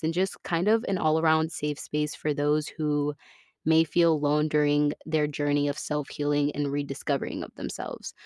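Low-voiced young American woman, chatting candidly. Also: a little mellow, no room sound, clean studio sound. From Florida, United States. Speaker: podcast host. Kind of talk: casual personal podcast monologue.

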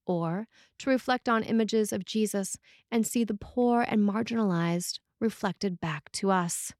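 A woman priest reads a scripted sermon in a measured, deliberate way, with pauses between phrases. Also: mid-range voice, darkish tone, no room sound, clean studio sound.

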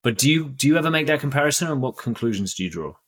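A man's voice: medium pitch, big pitch swings, very fast, a bit bright. A man hosting a business podcast, asking long conversational questions. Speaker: podcast host. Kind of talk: interview questions.

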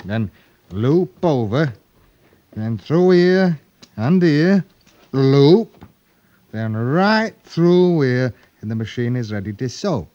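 An actor playing a kindly, fussy cloth merchant, patient and halting, in an old-time radio drama. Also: male voice, medium pitch, big pitch swings, warm tone, no echo. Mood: patient instructing. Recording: muffled old radio recording.

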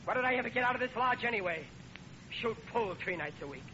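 Blustery, high-voiced hapless dad, old radio. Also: male voice, animated, fast, pushed and bright, full character voice. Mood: blustering, pleading. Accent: American. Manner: blustery, plaintive. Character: excitable, hapless working-class father, pleading and blustering. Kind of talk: 1940s radio comedy acting. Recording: muffled, noisy old radio recording.

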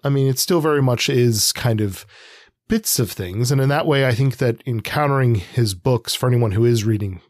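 A middle-aged man co-hosting a podcast, giving casual literary analysis in a measured, thoughtful way. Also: mid-range voice, darkish tone, no room sound, studio quality. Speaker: podcast co-host.

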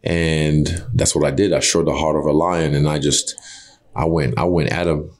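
Man, extremely low voice, Yoruba first language, intense, emphatic, casual interview guest. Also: fairly even intonation, normal speed. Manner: intense, emphatic, loose with swearing.

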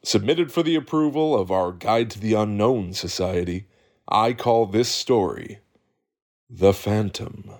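A man's voice: lowish voice, measured pace, a little mellow. A man playing a solemn, theatrical campfire storyteller introducing an audio drama. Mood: ominous, solemn.

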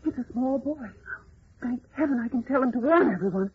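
Brave captive heroine, earnest n dramatic, old radio serial, muffled with faint hiss. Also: female voice, sits high, expressive, fairly quick. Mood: anxious. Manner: earnest, dramatic, emphatic diction. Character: brave, indignant young woman held captive.

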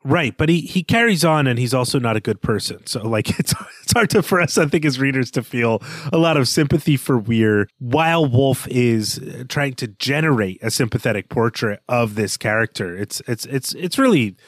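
A man's voice: medium pitch, expressive, moderate pace, few pauses, no echo, clean studio sound. A man co-hosting a book podcast, talking through a novel in a thoughtful, hedging way with frequent restarts. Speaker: podcast co-host. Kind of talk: casual book discussion.

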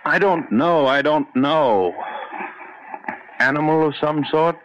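An actor playing a selfish, irritable middle-aged scientist husband, curt and contemptuous, in old-time radio drama style. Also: male voice, fairly high pitch, slow pace. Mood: tense, absorbed. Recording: muffled, hissy old radio recording.

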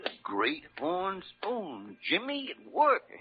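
Crusty, swooping old doctor. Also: male voice, sits high, huge pitch swings, takes it slowly, heavily acted. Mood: amazed, teasing. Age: older. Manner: gruff, folksy, wry. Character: crusty, wry senior doctor and mentor. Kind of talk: 1940s radio acting. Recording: muffled, noisy old radio recording.

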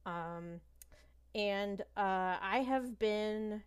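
A woman in her thirties chats casually as a podcast guest. She rambles a bit, makes fun of herself, and fills her pauses with ums, at a middling pitch. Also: smooth. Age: 30s. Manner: rambling, self-deprecating, hesitant with many ums. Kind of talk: casual chat.

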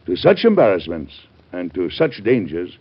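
An actor in an old-time radio drama playing a middle-aged, devout American president with a husky voice and a grave, stately, oratorical manner. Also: male voice, low-pitched, wildly swooping pitch, moderate pace, exaggerated voice. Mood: earnest, oratorical. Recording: dull vintage radio drama recording.

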